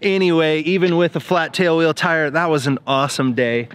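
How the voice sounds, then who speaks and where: A man's voice: a little high, fairly even intonation, normal speed, fairly bright tone. A young American man talking casually to camera as a vlog host out on airfields and in small planes. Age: young adult. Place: outdoors on open fields and airfield, at times in a small plane cockpit.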